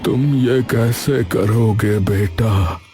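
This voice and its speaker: A man playing a sorrowful, dignified old king who has lost his throne, speaking in a slow, halting, weary way in a cartoon story. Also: on the low side, mellow, soft background music. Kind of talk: Hindi moral-story cartoon dubbing.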